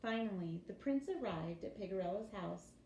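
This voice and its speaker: A woman reading a children's story aloud in an expressive teacher's storytelling style. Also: mid-range voice, moderate pace. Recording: roomy echo with faint background noise.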